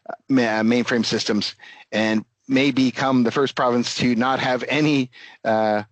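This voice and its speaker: A man's voice: mid-range voice, no room sound, rough recording. A man giving a live webinar presentation in an explanatory way, often repeating words as he goes. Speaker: presenter, company AI director.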